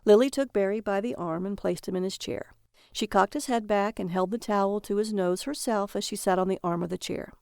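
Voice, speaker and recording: Gravelly-voiced woman reading a story. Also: medium pitch, brisk, a little mellow, dry recording, studio quality. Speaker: story reader. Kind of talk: scripted narration of a dark comic tale.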